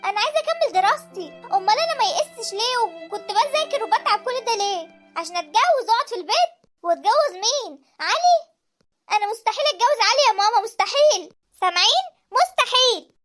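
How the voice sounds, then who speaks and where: A woman's voice: very high-pitched, animated, quick pace, music in the background. A woman playing a defiant young Egyptian girl. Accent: Egyptian Arabic. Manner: pleading, defiant. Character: desperate young Egyptian daughter, student.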